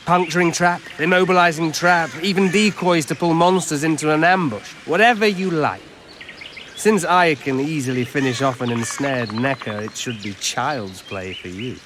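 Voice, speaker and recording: Guy doing old elf trapper from a fantasy game, measured and world-weary, outdoor birds in the background. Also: on the high side, a bit bright, slight background noise, natural voice. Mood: wry. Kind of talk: video game voice acting. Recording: birdsong and outdoor ambience behind.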